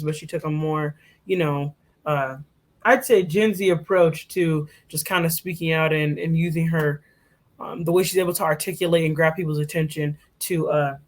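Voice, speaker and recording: A young woman co-hosting a podcast, giving a casual, chatty rundown full of fillers and restarts. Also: low-pitched. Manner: chatty, filler-heavy, self-correcting. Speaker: podcast co-host. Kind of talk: casual presentation.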